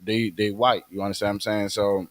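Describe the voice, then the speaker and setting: A man co-hosting a podcast, talking casually in a halting way with many fillers and restarts. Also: on the low side, level tone, a crowd in the background. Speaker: podcast co-host.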